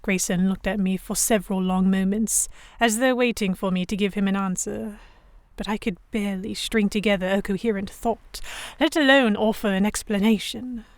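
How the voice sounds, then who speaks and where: A woman playing a young Victorian noblewoman captive, narrating her story in a measured, literary first person. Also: mid-range voice, fairly even intonation, dry recording, lightly acted. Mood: dazed, reflective. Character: young English noblewoman captive, reflective, stubborn, Victorian era. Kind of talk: first-person scripted narration in an audio drama.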